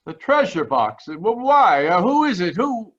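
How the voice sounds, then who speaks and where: An actor playing an earnest, pious immigrant man with halting, solemn delivery in a radio drama. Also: sits high, big pitch swings, fairly bright tone, full character voice. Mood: astonished. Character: earnest Italian immigrant man, pious, grieving, slow-spoken. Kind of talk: 1940s radio drama acting. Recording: slightly dull video-call audio.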